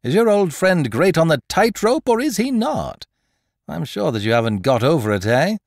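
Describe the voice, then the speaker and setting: Storybook gentleman thief, acted by a male reader: exuberant, mocking, boastful, hugely animated pitch, dry close sound. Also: moderate pace, vocal fry, no echo. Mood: gleeful teasing. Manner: mocking, theatrical, peppered with rhetorical questions. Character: gentleman thief, teasing, exuberant, boastful, playfully condescending to youngster. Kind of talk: dramatised audiobook character dialogue.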